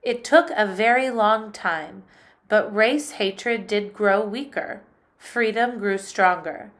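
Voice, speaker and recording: Woman slowly reading a children's story. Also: mid-range voice, a bit bright. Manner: slow, clear storytelling. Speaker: music teacher. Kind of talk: reading a picture book aloud to children.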